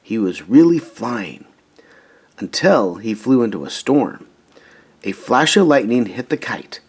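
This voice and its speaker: Guy doing a storybook read-aloud for kids, kinda raspy, really animated and expressive. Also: mid-range voice, a little slow. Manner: animated, emphatic, dramatizing story moments. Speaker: storybook reader.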